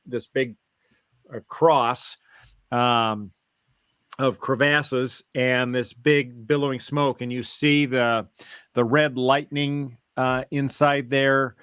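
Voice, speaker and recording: A man co-hosting a podcast, explaining things step by step in a halting, casual way. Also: medium pitch, fairly even intonation, fairly bright tone, clear, resonant tone, no room sound. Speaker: podcast co-host. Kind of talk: casual fan discussion, recounting and theorizing.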